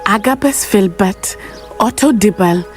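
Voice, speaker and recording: A spirited teenage schoolgirl witch played by a woman speaks with a Southern African accent in a middling pitch. She is earnest, determined and carefully spoken, like a game cutscene heroine, with faint music behind her. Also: animated, a little slow, close to an everyday voice. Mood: resolute. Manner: earnest, formal, careful phrasing. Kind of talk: video game cutscene acting. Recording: music under the voice.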